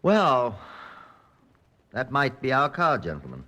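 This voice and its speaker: An actor playing a composed, methodical British chief inspector in an old-time radio crime drama, brisk and authoritative. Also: male voice, medium pitch, huge pitch swings, pushed and bright, exaggerated voice. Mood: commanding.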